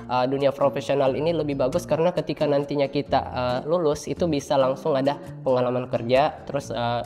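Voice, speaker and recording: A man's voice: medium pitch, a little mellow. A man, explaining things informally as a student guest in an interview, with hesitant phrasing. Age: 20s. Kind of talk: casual interview answers. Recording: reverberant room with faint background music. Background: faint music.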